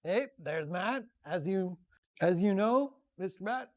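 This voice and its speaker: A man giving an informal, halting walk-around tech demonstration, thinking aloud as he goes. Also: sits high, animated. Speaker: presenter of a tech demo. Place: makerspace woodworking and tool shop. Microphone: wireless lavalier, sometimes built-in computer mic. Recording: wireless lav in noisy workshop.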